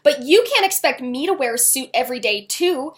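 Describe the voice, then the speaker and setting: A woman voicing a hot-tempered, argumentative eleven-year-old girl from a children's novel, loud and emphatic. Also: high-pitched, animated, fairly quick. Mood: shouting, indignant. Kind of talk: children's novel read aloud.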